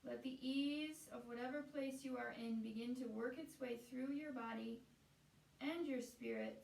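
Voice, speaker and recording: A woman guiding a meditation as a teacher, speaking in a soothing, unhurried way with pauses between phrases. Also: sits high, fairly even intonation, gravelly, a little mellow. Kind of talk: guided meditation. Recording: roomy echo, distant from the mic.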